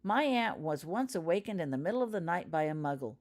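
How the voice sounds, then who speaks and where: An eager schoolgirl witch , read by a woman, tells tales in a storybook style. Her melody is animated and varied. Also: fairly low pitch, a little fast, voice pushed up. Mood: storytelling. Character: schoolgirl witch eagerly recounting family anecdotes.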